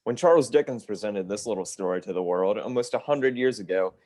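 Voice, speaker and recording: An actor playing a grave 1930s radio presenter and storyteller, narrating in a measured, dramatic way. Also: male voice, fairly low pitch, dark tone, dry recording, close to an everyday voice. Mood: formal, welcoming.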